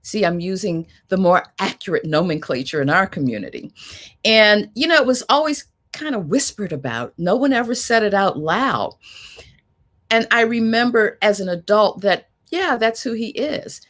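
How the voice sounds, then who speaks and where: A woman's voice: medium pitch, animated, moderate pace, fairly bright tone. Heartfelt woman moderating a discussion. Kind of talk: reflective conversational talk.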